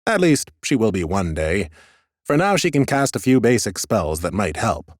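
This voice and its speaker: A man reads scripted fantasy fiction as a first-person narrator. His voice is on the low side and recorded close and dry, with a measured storyteller's delivery. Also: very animated, dry recording. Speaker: audiobook narrator.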